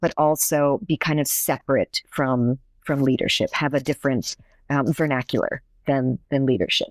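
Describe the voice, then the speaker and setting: A woman's voice: very deep voice, steady tone, normal speed, no echo. A woman professor and native English speaker, explaining her research in a conversational podcast interview, thoughtful with frequent restarts.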